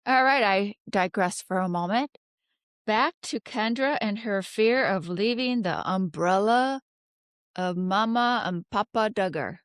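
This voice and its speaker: A woman giving an unscripted tarot reading, talking haltingly as she ponders aloud and poses rhetorical questions. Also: medium pitch, clear, resonant tone, no echo, clean studio sound. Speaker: tarot card reader, host.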